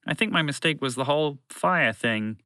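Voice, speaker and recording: A man's voice: on the high side, animated, normal speed, sharp tone, smooth, no echo, pitched above the performer's own voice. A man voicing a bumbling, flustered alien announcer who rambles and corrects himself in a children's story. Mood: rambling, insistent. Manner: hesitant, rambling, self-correcting.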